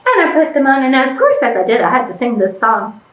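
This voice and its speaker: Lady doing kids storytime, super high swoopy voice, playful and over the top, echoey room. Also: sing-song, fairly quick. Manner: exaggerated, playful, addresses young children with questions. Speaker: library storytime presenter. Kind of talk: animated children's storytime, reading and acting out. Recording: roomy, echoing room sound.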